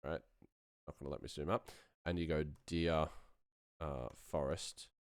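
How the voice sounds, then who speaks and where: A man giving an informal, self-deprecating tutorial on sound design software in casual, slangy language. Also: rumbling low, steady tone, unhurried, clean tone, dry recording, clean studio sound. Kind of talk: casual live-streamed software demo.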